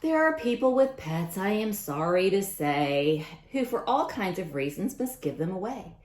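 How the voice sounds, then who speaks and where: A woman reading a picture book aloud to young children in an expressive way, adding chatty asides directly to her listeners. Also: medium pitch, moderate pace, echoey room.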